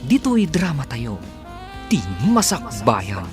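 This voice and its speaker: A man's voice: medium pitch, huge pitch swings, takes it slowly. A man announcing and narrating a radio drama in a scripted, dramatic style. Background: music.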